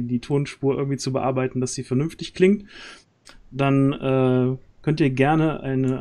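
A man hosts a casual livestream. His voice is soft-edged and mellow, and he talks haltingly, feeling for his words as he goes. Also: medium pitch. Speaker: stream host and audio drama organiser. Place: small room at home, on a group video call.

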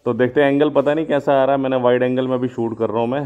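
A man's voice: mid-range voice, fairly even intonation, very fast, phone-quality sound. A man vlogging casually as he rides a motorbike outdoors, rambling and thinking aloud, recorded on a phone mounted on the bike. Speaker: motovlogger. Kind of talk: casual vlog chat. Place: outdoors riding a motorbike on the road.

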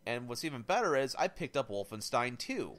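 A man co-hosting a casual gaming podcast, rambling excitedly and thinking out loud as he adds up prices. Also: medium pitch, brisk. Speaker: podcast co-host.

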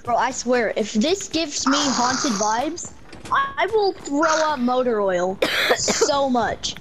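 High-voiced, hapless robot from the future, played by a woman. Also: sing-song, slow pace, heavily acted. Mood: grumbling, squeamish. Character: hapless time-travelling robot from the future, easily queasy. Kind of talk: improvised game roleplay. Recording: noisy home gaming mic.

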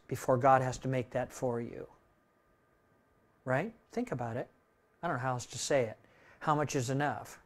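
A man in his sixties preaching a sermon in a church room, conversational, tossing in "right?" and swinging between loud and soft. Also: medium pitch. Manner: conversational preaching, tag questions, dynamic swings in loudness. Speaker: pastor. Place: church room with projector screen.

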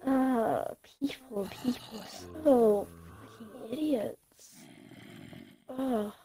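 A woman's voice: on the high side, drawn-out words, warm tone. A woman playing a scheming, mocking kidnapper in an amateur zombie game roleplay, with a bossy, offhand manner. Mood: defiant.

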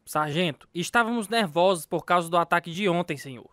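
A man's voice: high voice, expressive, brisk, fairly bright tone, no echo, clean studio sound. An actor playing a tense, bossy military policeman in a radio drama, barking his orders. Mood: defensive.

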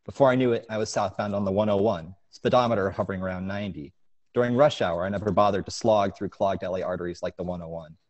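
Young adult man, low voice, author at an online reading, earphone mic on a video call. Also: fast, a little mellow, muffled recording. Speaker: author reading from his short story. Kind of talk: informal talk and story reading at an online event. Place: living room at home, video call. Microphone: wired earphone mic on a video call.

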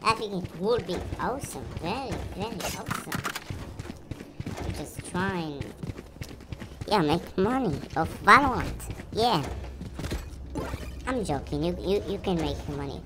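A woman's voice: fairly low pitch, lively intonation, unhurried, long pauses, background noise. A non-native English-speaking woman giving casual, rambling, sweary gameplay commentary, repeating phrases, her voice run through a voice changer. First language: not English. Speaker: gamer playing and commenting. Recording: music playing behind the voice. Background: loud game music and sounds.

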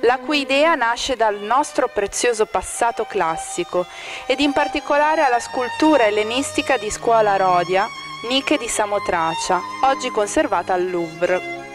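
A woman reading a scripted art-exhibition commentary in a measured, formal manner. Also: on the high side, lively intonation, music in the background. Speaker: exhibition narrator. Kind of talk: scripted art-critic narration.

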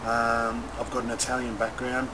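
Young man, Australian accent, laid-back athlete interview, halting conversational delivery, outdoors on camera mic, loud background noise. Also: medium pitch, monotone. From Newcastle, Australia. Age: young adult. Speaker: rugby league player. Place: open-air stadium stand by a running track. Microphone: camera mic a short distance away.

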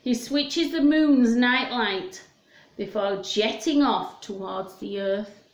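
A woman's voice: on the high side, slow. A woman in her fifties hosting story time for young children, talking simply and in a sing-song way with questions. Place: small room at home. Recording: roomy, echoey home recording.

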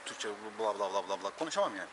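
Fast, animated man on a noisy street. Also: on the low side. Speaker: vlogger's companion. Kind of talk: casual chat. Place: city street at night, outdoors. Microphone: camera held by companion. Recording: street noise, off-mic.

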